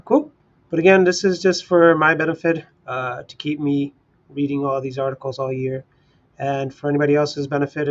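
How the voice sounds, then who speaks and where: A man's voice: mid-range voice, lo-fi sound. A man hosting a livestream read-along, speaking in an informal, unpolished amateur way. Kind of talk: casual livestream talk and reading articles aloud.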